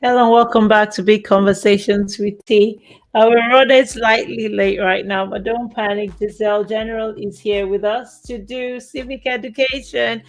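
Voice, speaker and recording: A woman's voice: on the high side, fairly even intonation, normal speed, faint music behind. Chatty upbeat female show host. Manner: upbeat, chatty, warmly promotional. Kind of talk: casual live show hosting.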